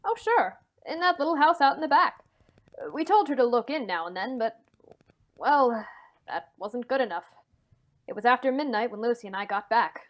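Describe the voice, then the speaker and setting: A woman's voice: a little high, expressive, moderate pace, close to an everyday voice. A woman acting a nervous, hesitant man in an old-time radio mystery, stammering as the character confides. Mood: troubled, confiding. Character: anxious man confiding a suspected family murder.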